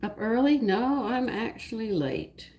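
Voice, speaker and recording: A woman hosting a live cooking stream, hoarse from losing her voice, chatting homily to viewers as she walks through each step. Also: fairly high pitch, very animated, unhurried, dark tone. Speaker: cooking show host. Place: home kitchen. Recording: noisy home kitchen live stream. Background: clinking dishes and cutlery.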